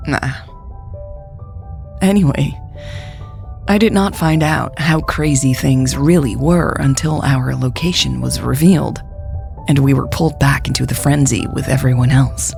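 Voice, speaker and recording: A woman's voice: extremely low pitch, a little slow, gravelly, darkish tone, faint music behind. A woman narrating a scripted first-person story for a podcast in an intimate, confiding way.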